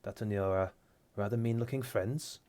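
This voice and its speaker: A man's voice: on the low side, moderate pace, dark tone, lightly acted. A man voicing a cheerful male dwarf cousin in a tabletop game, in a fake Welsh accent. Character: male dwarf, jovial cousin reporting from a mine.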